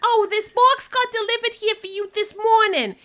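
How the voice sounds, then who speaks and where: A squeaky, very high-pitched receptionist. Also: female voice, steady tone, moderate pace, a bit bright. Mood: polite, hesitant. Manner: polite, hesitant. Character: squeaky, timid, polite female office receptionist. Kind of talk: audio drama acting.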